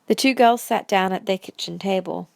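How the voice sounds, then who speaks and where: A woman's voice: medium pitch, moderate pace, vocal fry, darkish tone, dry recording. A woman reading scripted story narration as the narrator of an audio drama.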